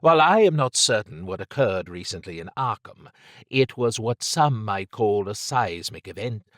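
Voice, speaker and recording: A man voicing a worldly, lazily amused woman thief who speaks in formal, measured phrases. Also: fairly low pitch, expressive, fairly bright tone, no room sound, clean studio sound. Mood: cryptic.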